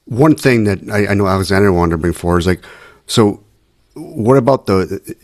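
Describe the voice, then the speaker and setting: A man with a low voice co-hosts a casual interview. He works toward his questions in a stumbling, roundabout way, restarting phrases as he goes. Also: expressive, moderate pace, darkish tone. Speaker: podcast co-host.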